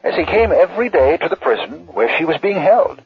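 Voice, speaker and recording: Husky, high-voiced nervous art dealer. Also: male voice, sing-song, brisk, no echo, exaggerated voice. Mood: storytelling. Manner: hesitant, apologetic, stammering. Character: nervous, polite male art auction dealer. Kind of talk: radio drama acting. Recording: dull, dry old radio drama audio.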